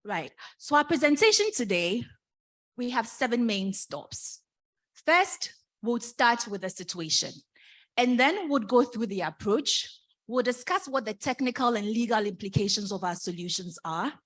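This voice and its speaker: A woman's voice: on the high side, animated, normal speed. Composed young woman from Ghana presenting. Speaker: student presenter. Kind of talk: team presentation.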